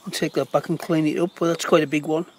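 A man's voice: on the high side, level tone, fast, darkish tone. A middle-aged man talking casually outdoors in woodland, pointing things out as he digs, filmed on a handheld phone. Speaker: forager. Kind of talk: casual walk-and-talk foraging demo.

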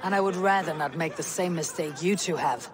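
High-voiced, stern Viking warrior played by a man. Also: brisk, a crowd in the background, close to an everyday voice. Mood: grim, resolute. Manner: blunt, solemn. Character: stern, loyal Viking warrior, blunt and fierce. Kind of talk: dramatic video game acting.

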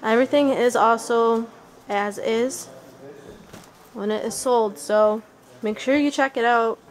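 A woman's voice: on the high side, fairly even intonation, unhurried. A woman from Western New York, giving an informal walking tour of an auction warehouse on a handheld camera and correcting herself as she goes. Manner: plain, informal, self-correcting as she walks. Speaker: auction house staff giving a walkthrough. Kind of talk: casual walkthrough narration. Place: large indoor vehicle warehouse. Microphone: handheld camera mic while walking. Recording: noisy warehouse camera audio.

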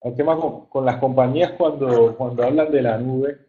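A man in his thirties with a Colombian coastal accent giving an informal online presentation, haltingly, repeating words as he searches for phrasing. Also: mid-range voice. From Caribbean coast of Colombia. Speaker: webinar presenter, software engineer. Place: online webinar. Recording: muffled narrow-band online call audio.

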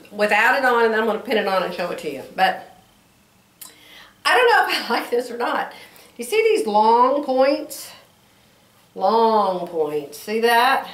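Hoarse chatty older woman, roomy sound. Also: a little high, fairly bright tone, far from the mic. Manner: chatty storytelling, acts out quoted voices. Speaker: sewing vlogger. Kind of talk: casual chat to camera. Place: bedroom sewing room, indoors. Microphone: camera across the room. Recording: roomy, from off mic.

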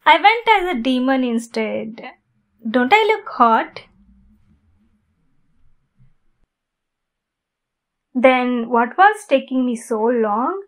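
Woman acting a high-voiced, flustered demon girlfriend. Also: lively intonation, full character voice. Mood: flustered, defensive. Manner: halting, flustered, intimate delivery. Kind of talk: ASMR roleplay.

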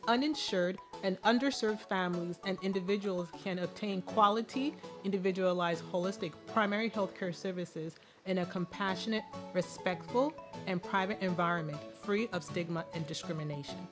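A woman's voice: medium pitch, normal speed, over music. A woman delivering scripted promotional narration.

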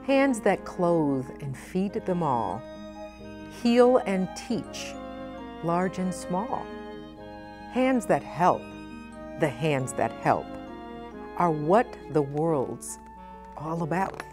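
A middle-aged woman presenting a children's storytime, speaking expressively and with deliberate phrasing for young listeners. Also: lowish voice, sing-song, over music. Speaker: guest storytime reader, nonprofit president. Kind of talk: children's picture book read-aloud. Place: indoor storytime set at a library.

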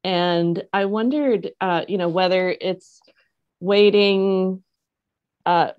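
A middle-aged woman moderating a panel over a video call, speaking in halting, thoughtful phrases from her home office. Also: medium pitch, smooth. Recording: dull muffled video call audio.

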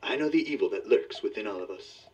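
Grandiose, theatrical radio-serial magician. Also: male voice, fairly low pitch, animated, breathy edge, far from the mic. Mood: ominous, mysterious. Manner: theatrical, declamatory, drawn-out words. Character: grandiose male magician crime-solver of old radio serial. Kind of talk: 1940s radio serial acting.

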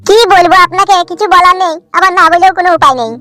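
A woman's voice: very high-pitched, racing, pushed and bright, no room sound, soft background music. A woman voicing a reluctant, polite village householder in a cartoon, speaking formally and hesitantly.

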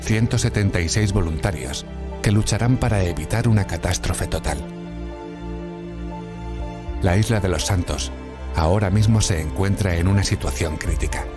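A man's voice: low voice, normal speed, pauses often, close to an everyday voice. A man playing a grave military narrator briefing apocalypse volunteers in scripted dramatic narration. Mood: grave, ominous. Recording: loud music under the voice.

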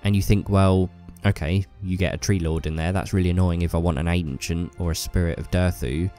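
Deep male vlogger, stuffy cold, enthusiastic and chatty. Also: fairly even intonation, brisk, warm tone, soft background music. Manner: enthusiastic, rambling with fillers. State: bunged up with a cold. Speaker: YouTuber. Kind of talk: casual hobby vlog talk.

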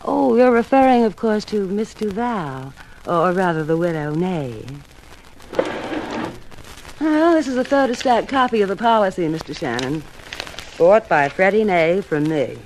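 A flirtatious female agent played by an actress speaks in a medium-pitched voice with coy, businesslike patter. It is 1950s radio drama acting, with faint noise on the recording. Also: natural voice.

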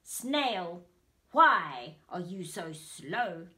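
A woman's voice: lowish voice, sing-song, extremely slow, sharp tone, heavily acted. A woman voicing a proud, boastful male horse in a children's story, with a taunting, laughing manner. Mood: scornful.

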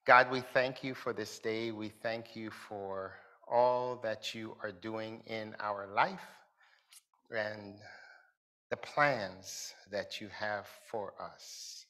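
A middle-aged man preaching as a pastor from a pulpit in a large church hall, speaking deliberately and building emphasis. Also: on the low side, sharp tone. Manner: slow, deliberate delivery with rising emphasis. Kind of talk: sermon.

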